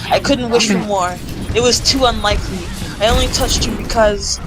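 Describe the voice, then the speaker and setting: A woman's voice: mid-range voice, moderate pace, over music, low-quality recording. A woman reading fan fiction aloud in a casual group call, giggly and often stumbling and repeating phrases. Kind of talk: amateur read-aloud of fan fiction during casual chat.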